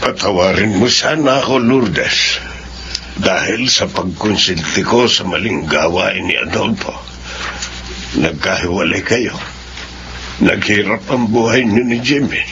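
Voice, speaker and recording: An actor playing a remorseful, lonely old grandfather in a Filipino radio drama, speaking slowly and apologetically. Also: low-pitched. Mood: remorseful, sorrowful. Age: older. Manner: slow, apologetic. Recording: muffled radio broadcast, music behind.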